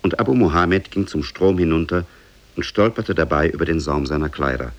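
Male narrator, bass with heavy creak, measured storybook delivery, vintage radio. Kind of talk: scripted fairy-tale narration, 1950s radio.

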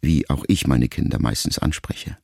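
A man acting in a radio play as a grown son addressing his mother in a sombre, tender monologue, measured and deliberate. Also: rumbling low, gravelly. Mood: sombre, remembering.

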